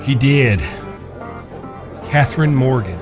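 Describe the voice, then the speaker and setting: An actor in a western audio drama playing a teasing younger brother, an Old West cowboy with a folksy, mischievous way of talking. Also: male voice, medium pitch, animated, slow. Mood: frank. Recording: background music.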